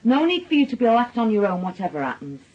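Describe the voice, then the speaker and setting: An actress playing a soothing, gossipy middle-aged family friend with a Northern English accent in a radio drama. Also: female voice, a little high, very animated, fast. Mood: concerned. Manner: gossipy, placating. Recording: dull radio drama recording.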